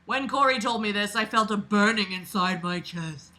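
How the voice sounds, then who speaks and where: Bright, forceful, sarcastic woman reading aloud. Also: medium pitch, normal speed. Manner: expressive, sarcastic, informal and sweary. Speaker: host reading a book aloud with commentary. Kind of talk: casual mocking read-aloud with off-the-cuff asides.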